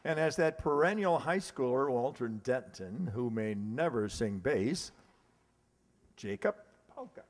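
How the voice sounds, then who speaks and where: A man's voice: mid-range voice, expressive, measured pace, a faint crowd. An American man working as a stage announcer, formally presenting a cast with the odd stumble and self-correction. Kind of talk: live curtain-call cast introductions. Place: live stage performance in a hall. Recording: echoey hall, audience noise, clicks.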